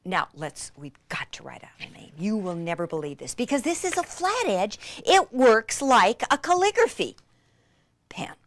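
A woman giving an enthusiastic hands-on craft demonstration, gushing and excitable, with breathy gasps and drops into near-whispers. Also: medium pitch, normal speed, no room sound. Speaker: craft instructor.

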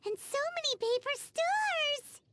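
A woman voicing a tiny, chatty floating fairy-like companion in a game cutscene, very high and squeaky with bubbly exclamations. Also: animated, takes it slowly, very noisy, exaggerated voice. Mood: excited.

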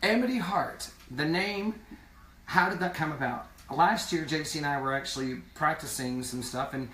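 A hoarse man in his thirties chatting casually on a live stream from a small room, rambling and reading viewer comments aloud. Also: fairly high pitch, moderate pace, bright, forceful tone, a little hiss or hum. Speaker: singing duo member hosting a live stream. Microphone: phone camera at a distance. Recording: echoey room, from a distance.

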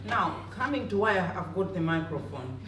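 A woman's voice: fairly low pitch, very animated, normal speed, fairly bright tone. A woman from Malawi with a Malawian accent addresses an audience as a panel speaker, in emphatic, short, direct phrases. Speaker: panel speaker, community health advocate. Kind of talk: personal public speech addressing an audience. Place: conference room panel table, indoors. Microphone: handheld microphone, distant camera. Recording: echoey room, distant, faint noise.

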